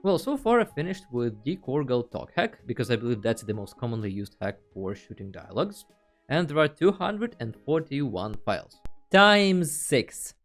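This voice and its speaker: A man's voice: mid-range voice, sing-song, normal speed, faint music behind. A man hosting a casual video tutorial, talking through each step in a playful, dryly joking way. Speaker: host of a video game tutorial channel. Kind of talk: casual tutorial screen narration.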